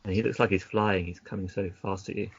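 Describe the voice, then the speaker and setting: A man's voice: fairly low pitch, steady tone, quick pace, husky, dry recording, muffled recording. A man narrating an adventure gamebook as game master, casually, breaking off into chatty asides.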